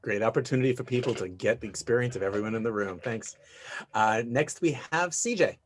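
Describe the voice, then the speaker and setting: A man's voice: medium pitch, fairly quick, slight background noise. A man in a mid-range voice hosts an online networking meeting, calling up each speaker in turn. He keeps it chatty and upbeat, speaking over a video call. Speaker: host. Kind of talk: moderating an online networking event.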